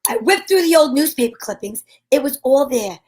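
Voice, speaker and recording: Woman acting a high-voiced, wry lady private eye. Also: lively intonation, brisk, a little mellow, slight background noise. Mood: wry narrating. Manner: wry, wisecracking. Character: wry, breezy woman private eye narrating her case. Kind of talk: old-time radio detective drama.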